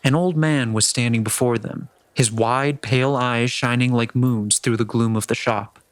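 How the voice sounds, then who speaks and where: A man's voice: medium pitch, fairly even intonation, moderate pace, no echo. A man reading scripted story narration as the narrator of a dramatic reading.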